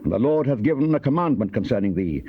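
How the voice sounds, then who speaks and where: A man's voice: medium pitch, sing-song, fast talker, slightly rough. A man acting a grave, wrathful Old Testament prophet in a solemn, declamatory scripture dramatization. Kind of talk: dramatized King James scripture reading. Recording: dull, noisy cassette tape.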